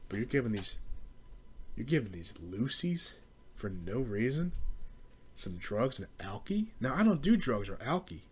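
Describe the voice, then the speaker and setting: A man's voice: medium pitch, big pitch swings, measured pace, slightly rough. A young American man rambling through a casual, profane comedic rant as a podcast host, in slangy speech. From southeastern Pennsylvania, US.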